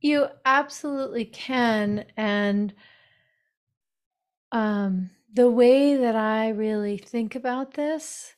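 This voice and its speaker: A middle-aged woman author, a guest in a podcast interview, speaking in a slow, reflective, unhurried way. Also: a little high.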